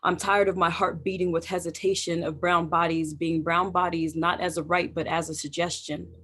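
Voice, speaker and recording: A poet reciting spoken word in a deliberate, emphatic way over a video call. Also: mid-range voice, level tone, bright, forceful tone. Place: indoors, remote video call.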